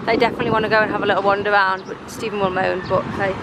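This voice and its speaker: A woman's voice: medium pitch, little pitch movement, racing. An English woman in her thirties with an English accent, chatting casually and informally as a travel vlogger. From England. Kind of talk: casual vlog chat. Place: open-sided farm shelter and village streets. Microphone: handheld camera at arm's length. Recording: busy public places with loud background noise. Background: crowd chatter, ambient noise, traffic.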